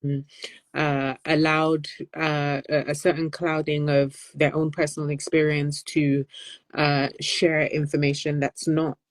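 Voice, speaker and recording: A woman speaking as a guest panellist, explaining things conversationally in a measured, careful way. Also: deep voice, level tone, dry recording. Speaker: guest panellist, health advocate.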